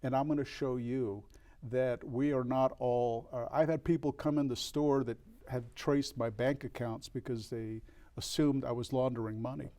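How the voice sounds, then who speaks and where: An older man from El Paso, a record store owner, speaking as an interview guest in a reflective, earnest way, with frequent restarts and pauses. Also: medium pitch, fairly even intonation, warm tone. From El Paso, Texas. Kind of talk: candid interview conversation.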